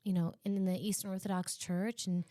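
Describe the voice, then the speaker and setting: A woman's voice: medium pitch, level tone, brisk, warm tone, dry recording. A woman podcast guest, from Virginia, telling her own school story casually with many fillers. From Harrisonburg, Virginia.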